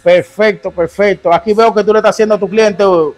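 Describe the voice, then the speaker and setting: A man's voice: high voice, steady tone, brisk, soft background music. A man in his thirties with a lapel mic, interviewing barbers in a small barbershop and repeating short acknowledgements often. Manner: chatty, repeats okay and perfect often. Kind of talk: on-the-spot interview.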